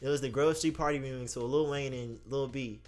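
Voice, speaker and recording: A man's voice: medium pitch, steady tone, fairly quick, darkish tone. An American man hosting a casual radio conversation, talking in a slangy, profane, joking way. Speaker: radio show host. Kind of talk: casual banter interview about hip hop. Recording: music playing behind the voice.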